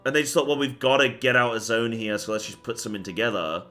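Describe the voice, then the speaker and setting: A young man talks to his stream chat in a bright, forward voice, rambling through his thoughts with lots of "like" and "um." He gets worked up when he rants. A faint bit of music sits under his voice. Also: medium pitch, fast talker. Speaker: game streamer. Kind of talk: casual live-stream chat.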